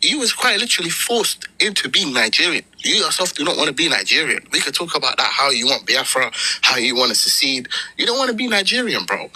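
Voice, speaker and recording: A man from Somalia with a very sharp, forceful voice and a husky edge. He argues in an online debate, mocking his opponents with pointed rhetorical questions. The sound is dry and close. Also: on the high side, big pitch swings, fast, few pauses, no room sound, low-quality recording. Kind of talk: heated online debate, trash talk.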